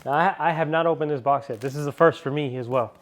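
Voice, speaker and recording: A man chatting through a casual car-parts unboxing, excitable and rambling, still a little sniffly from a cold. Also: on the high side, fast talker, very noisy. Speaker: car vlogger.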